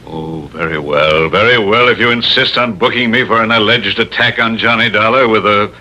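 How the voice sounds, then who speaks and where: A low, haughty radio-drama villain. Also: male voice, moderate pace, bright, forceful tone, muffled recording. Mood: coolly scornful. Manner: pompous, precise, formal diction. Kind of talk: old-time radio drama acting.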